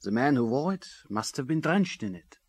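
A clinical forensic expert in a radio play. He reports formally and methodically in a medium-pitched voice. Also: very animated, moderate pace, a little mellow. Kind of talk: radio drama acting.

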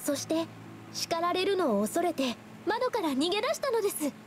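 A woman's voice: very high-pitched, sing-song, moderate pace. An actress playing a shy, earnest, naive young woman painter in an anime game, speaking politely and hesitantly. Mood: eager. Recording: background music under the voice.

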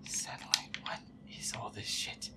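A man voicing a feisty, grumpy young werewolf boyfriend in a gameplay roleplay, muttering irritably and swearing to himself in a breathy voice. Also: unhurried, whispered, close to an everyday voice. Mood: irritated, grumbling. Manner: foul-mouthed, irritable muttering with nervous outbursts.

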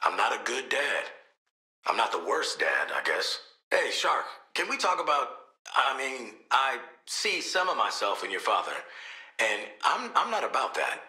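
Video game assassin: American, cynical and cocky, wry street slang, lively pitch swings, roomy sound. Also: male voice, normal speed. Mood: subdued, sincere. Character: cynical, cocky male assassin and protective father. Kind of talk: video game character voice lines.

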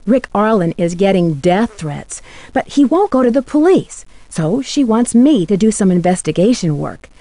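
Woman acting young lady sleuth for a pc game, curious n polite, mid pitch, very dry recording. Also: mellow, no room sound, natural voice. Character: bright, curious, polite young female amateur detective. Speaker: video game voice actress. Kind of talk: scripted game voice lines and letter narration.

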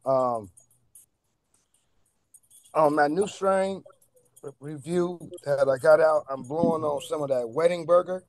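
A middle-aged man chatting casually as a radio guest, halting often in mid-sentence. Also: on the high side, darkish tone, dry recording. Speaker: radio guest, cannabis strain reviewer. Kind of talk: casual chat.

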